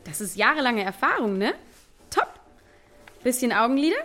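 Woman care worker, highish and animated, encouraging and teasing, casual one-to-one talk. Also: moderate pace, faint music behind. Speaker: care worker in a nursing home. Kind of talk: casual chat with a resident.

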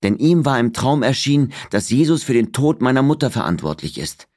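A young Turkish village woman, played by a male audiobook reader, speaks in a lifted pitch with polite, pious explanations. Her words carry a grudge, and the sound is dry and close. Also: big pitch swings, a little fast, a little mellow, dry recording, lightly acted. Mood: aggrieved. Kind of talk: audiobook character dialogue.

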